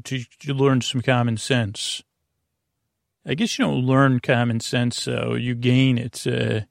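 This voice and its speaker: A gravelly man with a mid-pitched voice hosts a bedtime podcast, recorded close and dry. He meanders in a halting, long-winded way, starting thoughts and wandering away from them. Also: dry recording. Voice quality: creaky, gravelly. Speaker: podcast host. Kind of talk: rambling bedtime sleep podcast monologue.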